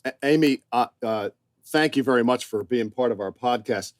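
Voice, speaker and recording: An American man co-hosting a podcast in casual chat, a little halting and repeating himself for emphasis. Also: mid-range voice. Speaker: podcast co-host, boat captain. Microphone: remote connection.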